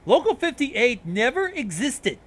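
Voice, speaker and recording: A man's voice: very high voice, very animated, measured pace, fairly bright tone. A man hosting with casual explanatory commentary, informal, rambling and freely profane. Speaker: host explaining videos.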